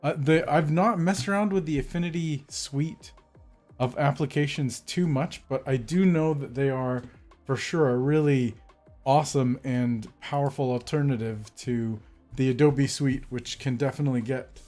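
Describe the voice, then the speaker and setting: A man's voice: medium pitch, normal speed, a little mellow, soft background music. A man hosting a calligraphy live stream, chatting casually and greeting viewers by name, with a slightly congested voice from a cold. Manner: friendly, greets viewers by name, rambling with fillers.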